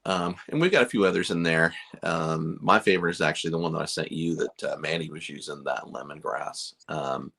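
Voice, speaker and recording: A man's voice: very deep voice, fairly quick, vocal fry, hardly any pauses, fairly bright tone. A middle-aged man from Chicago, a beard company owner, chatting casually on a livestream in a rambling, self-deprecating way with lots of fillers.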